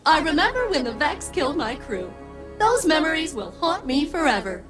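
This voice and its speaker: An actress playing a chipper, blunt spaceship AI in a video game, delivering lines in a matter-of-fact way. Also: female voice, sits high, big pitch swings, normal speed. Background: clear background music.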